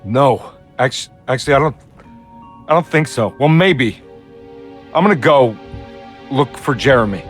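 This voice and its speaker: A man's voice: medium pitch, lively intonation, close to an everyday voice. Troubled game detective, male man acting, hesitant and worn down, naturalistic delivery over prominent music. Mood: dazed, unsure. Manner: halting, hesitant. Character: troubled, shaken, remorseful male private detective.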